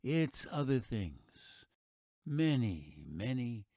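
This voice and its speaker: A man with an American accent playing an earnest, reflective radio narrator, delivering a scripted patriotic monologue in a measured, oratorical way. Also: mid-range voice, very animated, warm tone, dry recording, clean studio sound. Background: music behind the voice.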